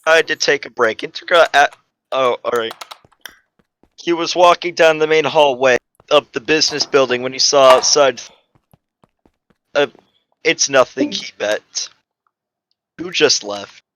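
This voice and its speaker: A man's voice: medium pitch, a little slow, pauses often, fairly bright tone, poor audio. A man giving an amateur dramatic reading of a story, stumbling over the text and breaking off into casual, exasperated asides. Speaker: reader of a fan fiction story. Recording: faint background noise.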